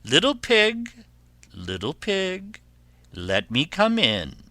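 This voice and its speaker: A man voicing a sly, menacing storybook wolf who coaxes and blusters in a drawn-out, huffing delivery. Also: high voice, wildly swooping pitch, sharp tone, dry recording, pitched above the performer's own voice, full character voice. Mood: coaxing menace. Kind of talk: children's fairy tale character voice.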